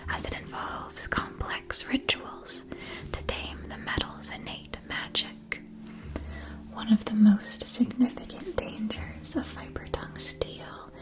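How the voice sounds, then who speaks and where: A woman voicing a calm, knowing witch-school wand seller in a whispered, measured and formal ASMR roleplay. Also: on the high side, rough recording. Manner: measured, deliberate, formal salesmanship.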